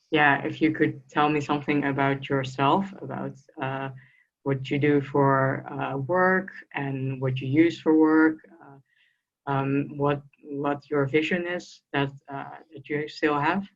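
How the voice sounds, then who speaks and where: A student interviewer speaks at a middle pitch and pauses often, searching for words with many ums. The audio is dull and lo-fi, like sound from an online call. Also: flat delivery. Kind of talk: conversational interview.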